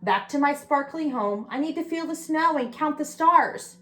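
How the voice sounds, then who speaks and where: Lady reading as a sweet little storybook owl, high voice, friendly n earnest. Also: expressive, moderate pace, fairly bright tone. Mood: hurried.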